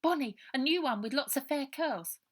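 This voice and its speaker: A woman's voice: sits high, animated, normal speed, pitched above the performer's own voice. A woman voicing an anxious young girl with an English accent, direct and questioning, in a storybook reading. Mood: urgent, worried. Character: anxious, urgent girl searching for her little sister.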